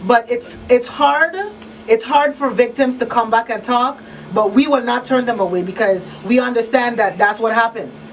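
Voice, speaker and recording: A woman's voice: on the high side, normal speed. A woman hosting an informal panel discussion, speaking emphatically and repeating points for weight as she gives advice. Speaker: radio talk show host and crisis counselor. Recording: distant microphone, noisy room ambience.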